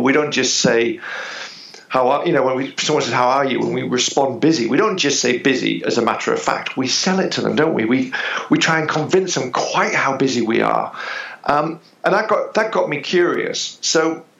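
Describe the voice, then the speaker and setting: Male guest expert, gravelly voice, dull echoey remote audio. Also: medium pitch, fairly bright tone. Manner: thoughtful, explanatory, with hesitations. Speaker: business psychologist, guest. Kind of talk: interview answers.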